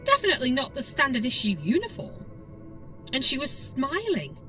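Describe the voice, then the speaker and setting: A woman's voice: sits high, huge pitch swings, normal speed, bright, forceful tone, distant mic, faint music behind, rough recording. A woman narrating a scripted audiobook story in a dramatic storytelling style.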